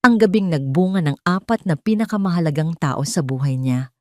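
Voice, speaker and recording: Low-voiced woman narrating a dramatic story. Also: a little fast, warm tone, no echo, studio quality.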